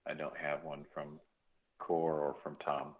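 A man's voice: very deep voice, completely flat delivery, measured pace. A man answering a sales call, chatting casually over the phone. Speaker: person answering a cold call. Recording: muffled narrow phone line.

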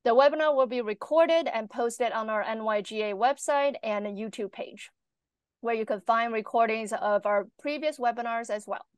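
A woman moderator opens a medical webinar, reading speaker bios and housekeeping notes in a measured, formal way. Her voice sits a little high. The video-call sound is dry. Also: smooth, no echo. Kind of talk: read introduction.

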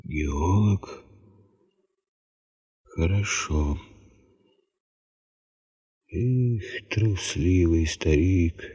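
An actor playing a wise, kindly old tundra herder grandfather in a radio drama, speaking in halting, folksy, philosophical phrases. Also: deep voice, animated, mellow, husky, exaggerated voice. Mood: grave, appraising. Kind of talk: Soviet radio drama acting. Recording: muffled 1970s radio play recording.

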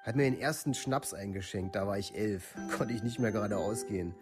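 A man's voice: lowish voice, a little fast, music in the background, natural voice. Actor as blunt young village man, matter-of-fact. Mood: casual, reminiscing. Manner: matter-of-fact, plain, unemotional recounting. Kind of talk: documentary radio play monologue, testimony.

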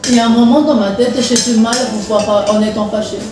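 A woman's voice: a little high, fairly even intonation, fast. A young woman from Madagascar interviewing a guest in a friendly, curious way, laughing often. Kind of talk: casual interview. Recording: echoey, from a distance, with music behind.

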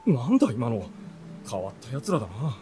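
Man acting an earnest, animated bookish teenage youth. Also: medium pitch, moderate pace, soft background music, close to an everyday voice. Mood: curious narrating. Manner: expressive, earnest storytelling. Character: earnest seventeen-year-old youth, bookish runaway storyteller. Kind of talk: radio drama narration and dialogue.

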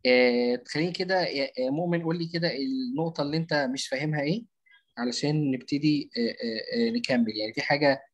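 A man with an Egyptian Arabic accent teaching marketing in a live online class over a video call, talking fast with many drawn-out fillers and frequent check-ins. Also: mid-range voice. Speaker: marketing instructor. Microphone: computer mic on video call.

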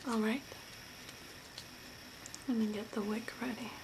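A woman voicing an eerie, deadpan female zombie makeover artist in a soft, breathy, mostly whispered ASMR role play, speaking slowly and deliberately. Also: on the high side. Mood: eerie, courteous. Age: young adult. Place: small curtained room at home, indoors. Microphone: close to the camera. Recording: noisy amateur home recording.

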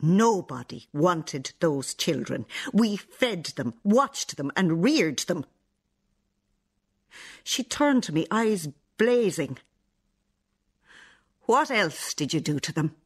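An actress with an Irish accent playing a stern, bitter, defensive older nun in a radio play, sounding accusing and sanctimonious. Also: female voice, lowish voice, sing-song, takes it slowly, long pauses, slightly rough, exaggerated voice. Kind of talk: radio play acting, monologue and dialogue.

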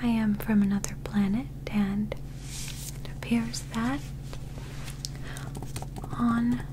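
A young woman playing a calm, caring alien physician in a whispered, gentle ASMR medical roleplay, close to the microphone over background noise. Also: mid-range voice, natural voice. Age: young adult. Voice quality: whispery, soft. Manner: slow, gentle, clinical, deliberate.

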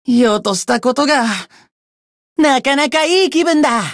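A woman voicing a cocky, bratty young demon who boasts pompously in rough slang, in a Japanese game character style. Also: sits high, measured pace, dry recording, studio quality. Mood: impatient, haughty.

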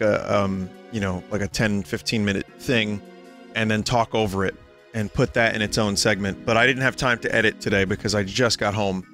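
A young man, a little hoarse and tired, chatting casually and rambling to his stream viewers from home. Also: mid-range voice, a bit bright. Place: home room.

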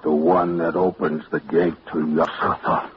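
Sinister rustic young man, deep slow drawl, old radio hiss. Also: flat delivery. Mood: menacing. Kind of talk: 1940s radio acting. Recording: dull old radio broadcast with static.

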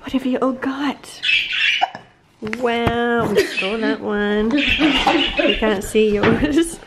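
A woman's voice: fairly high pitch, drawn-out words, very noisy. A woman filming her family at home, cheerful and laughing as she talks. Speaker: vlogging mother.

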